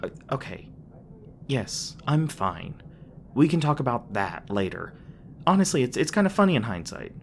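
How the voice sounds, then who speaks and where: A man's voice: medium pitch, very animated, moderate pace, vocal fry, slight background noise. A man playing a flustered, tender demon boyfriend in an intimate ASMR roleplay, speaking hesitantly with stammers, bashful and a little defensive. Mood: sheepish, sincere. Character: flustered, tender young male demon boyfriend, secretly human-born.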